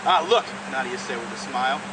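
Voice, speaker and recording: A man's voice: mid-range voice, brisk. A man reading a comic story aloud with casual, amused banter, often cracking up as he goes. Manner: breaks into laughter while reading. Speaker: co-host reading a mad-libs story aloud. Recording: very noisy, distant mic, steady background hum.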